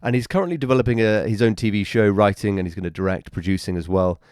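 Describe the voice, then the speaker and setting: A man in his thirties, hoarse with a cold, his voice raspy and very deep. He introduces his podcast in a chatty, upbeat way. The sound is dry and close. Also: fast talker, darkish tone, no echo. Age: 30s. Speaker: podcast host.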